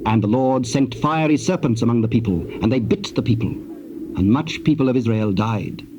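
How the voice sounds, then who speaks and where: A man reads scripture as a solemn narrator. His mid-range voice is formal and measured. The recording is a dull old tape with clear noise. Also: mid-range voice.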